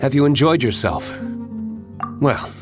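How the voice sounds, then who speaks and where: A man voicing a composed, serious young nobleman winemaker in a video game, with a measured, formal, restrained delivery. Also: mid-range voice, big pitch swings. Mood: politely reserved. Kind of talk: video game character dialogue. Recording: studio voice over game music.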